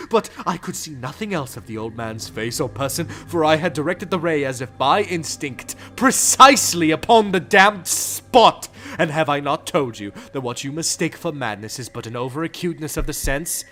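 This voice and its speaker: Male dramatic reader, high and animated, gothic storytelling with music under it. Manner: theatrical, swinging between hushed whispers and frenzied shouts. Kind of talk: dramatic reading of a gothic tale. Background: clear music.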